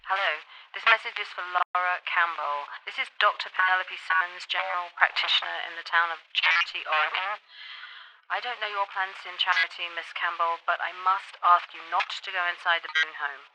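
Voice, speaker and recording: A woman's voice: fairly low pitch, fairly even intonation, pushed and bright, a little hiss or hum. Woman playing a formal doctor on tinny phone. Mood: grave warning. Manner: formal, measured. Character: formal small-town female doctor giving a stern warning. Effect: telephone filter. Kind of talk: audio drama acting. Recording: tinny phone message, breaking up.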